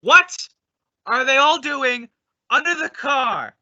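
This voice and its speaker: A man's voice: extremely high pitch, animated, takes it slowly, sharp tone, dry recording, dull audio, full character voice. A man playing the bossy straight man of an old-time radio comedy duo, explaining and scolding in exasperation. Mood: alarmed.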